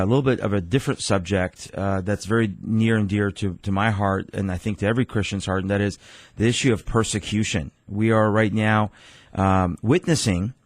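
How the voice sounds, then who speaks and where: A man's voice: fairly low pitch, no room sound. A man in his forties, originally from Iran, a pastor speaking earnestly as a radio guest with frequent restarts.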